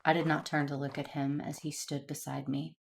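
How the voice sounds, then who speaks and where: A woman playing a solemn, conflicted but resolute Jedi warrior in an audio drama, speaking in a measured, earnest way. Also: low-pitched, flat delivery, gravelly, slight background noise. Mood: brooding, somber. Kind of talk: first-person narration and dialogue in audio drama.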